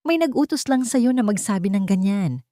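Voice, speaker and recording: A woman's voice: medium pitch, expressive, a little fast, mellow, no echo, studio quality, voice pushed up, lightly acted. A woman with a Filipino accent voicing a menacing older male lawyer, cold and condescending, in an audio drama story. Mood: cold, mocking.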